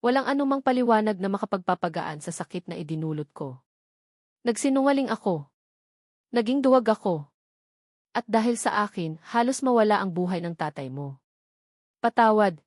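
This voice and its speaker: A woman's voice: mid-range voice, lively intonation, normal speed, long pauses, a little mellow, no room sound, studio quality, lightly acted. A woman voicing a remorseful, determined man in a romance storybook narration, solemn and earnest. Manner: solemn, contrite, resolute.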